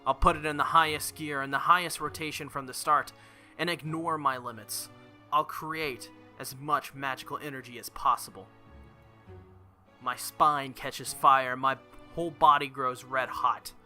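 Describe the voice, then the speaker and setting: Male let's-play reader, very bright forceful voice, dramatic visual novel narration over music. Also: medium pitch, fairly even intonation, normal speed. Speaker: let's play video host. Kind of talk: reading visual novel text aloud.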